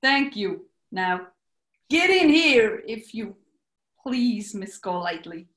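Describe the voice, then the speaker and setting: A woman playing a courteous but shrewd male gangster henchman in a radio comedy, with deliberate tough talk. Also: mid-range voice, very animated, a bit bright, roomy sound. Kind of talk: 1940s radio comedy acting.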